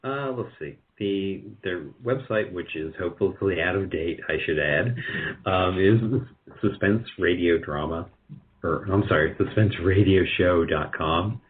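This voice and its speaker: A man giving casual interview answers in a halting, self-deprecating way over a phone-like connection. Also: low voice. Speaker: guest, radio drama producer. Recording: tinny phone-like line.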